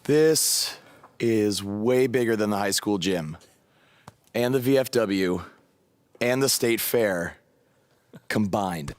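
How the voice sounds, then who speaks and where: An actor playing an earnest, good-natured young underdog wrestler in a video game, with a mildly wry manner. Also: male voice, lowish voice, animated, slow, long pauses, dry recording. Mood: awed, wry. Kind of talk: video game cutscene acting.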